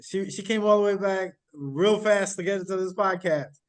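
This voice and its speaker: A man's voice: high voice, moderate pace. Rambling podcast host man. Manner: loose, rambling questions with many fillers. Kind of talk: casual interview hosting.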